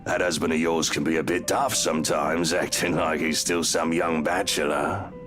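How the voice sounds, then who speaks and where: A man playing a smug, flirtatious police chief inspector in a visual novel game, with a deep, suave, teasing delivery. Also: fairly even intonation, moderate pace, over music. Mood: casually teasing.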